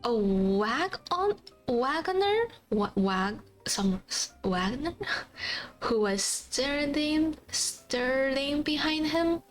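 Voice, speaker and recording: A woman livestream host casually reading a fairy tale aloud, halting over old-fashioned words in a giggly, apologetic way. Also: high-pitched, expressive, poor audio. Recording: faint background music. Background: soft background music.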